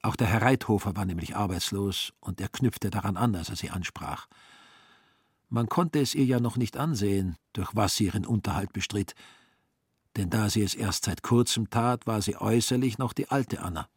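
A man's voice: lowish voice, moderate pace, breathy edge, no echo, studio quality. A man narrating a literary radio drama in scripted prose. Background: music.

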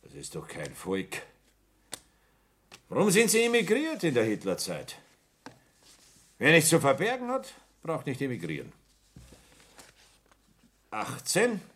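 A man's voice: wildly swooping pitch, slow pace, long pauses, full character voice. A man acting a self-important, bigoted middle-aged bookkeeper in a naturalistic radio drama, insistent and opinionated. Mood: resentful, ranting. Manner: insistent, opinionated, swelling from low to loud. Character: Veit, self-important bigoted Bavarian bookkeeper and war veteran.

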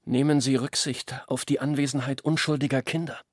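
An actor playing a young, imperious village schoolteacher in a radio play, curt, reserved and disapproving. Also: male voice, mid-range voice, little pitch movement, a little fast, dry recording, clean studio sound, close to an everyday voice. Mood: stern disapproval. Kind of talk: literary radio play dialogue.

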